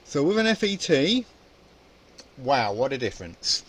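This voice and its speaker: A man's voice: medium pitch, big pitch swings, a little slow, fairly bright tone, breathy edge. A man presenting an informal hands-on electronics tutorial, explaining casually and thinking aloud as he goes. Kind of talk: informal spoken tutorial demonstration.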